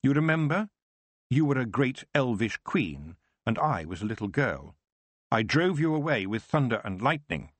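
A man voicing a young witch who speaks firmly and in a measured, commanding way. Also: mid-range voice, big pitch swings, no room sound, pitched above the performer's own voice. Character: young witch girl Tiffany, firm and sharp-minded.

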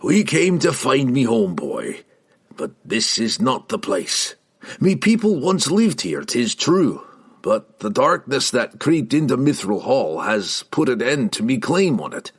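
A furious old dwarf, roars and growls in a raised, strained pitch. The words come slow and heavy, full of stubborn resolve, told like a fantasy storybook. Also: male voice, big pitch swings, husky. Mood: grim. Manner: roaring, growling, old-fashioned dwarven speech. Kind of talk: audiobook character voice.

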